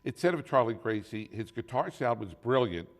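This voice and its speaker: Philly man, host, mid-pitch, casual interviewer with fillers. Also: sing-song, moderate pace. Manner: conversational, lots of filler and affirmations. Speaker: talk show host.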